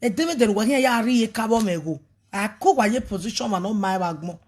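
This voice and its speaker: A woman's voice: mid-range voice, lively intonation, brisk, a little mellow, slight background noise. A woman, a ministry leader talking on a live video, preachy and emphatic, mixing languages. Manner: mixes pidgin, English and a local language, preachy and emphatic. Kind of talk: animated livestream talk.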